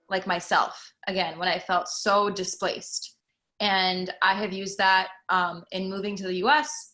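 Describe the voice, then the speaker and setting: A woman's voice: medium pitch, pushed and bright. A woman in her thirties from Costa Rica, a fitness instructor talking earnestly about her work in a casual panel chat. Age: 30s. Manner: earnest, reflective, frequent fillers.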